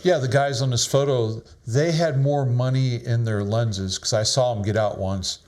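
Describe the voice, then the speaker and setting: Middle-aged guy chatting about his pics, mid-pitched, laughs a lot, kinda rambly. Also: moderate pace, darkish tone. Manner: laughs often, modest and rambling. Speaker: photographer showing his photos. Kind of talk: casual chat.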